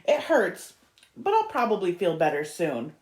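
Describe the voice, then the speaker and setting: A woman's voice: fairly low pitch, huge pitch swings, a little slow, a little mellow, pitched below the performer's own voice. A woman voicing a well-meaning, nosy male ape in a storybook, frank and concerned for his friend. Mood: subdued. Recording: echoey room.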